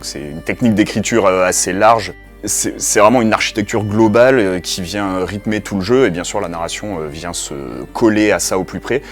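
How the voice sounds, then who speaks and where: A man's voice: lowish voice, moderate pace, faint music behind. A man speaking as a game developer in an explanatory interview, conversational, with broken phrasing.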